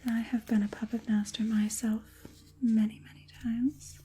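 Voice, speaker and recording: A woman playing an evil, proud and doting witch puppet master in a polite, breathy ASMR whisper with occasional softly voiced words. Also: a little high, little pitch movement, slight background noise, close to an everyday voice, low-quality recording. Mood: proud, possessive. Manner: unhurried, polite, hushed, deliberate with repeated affirmations.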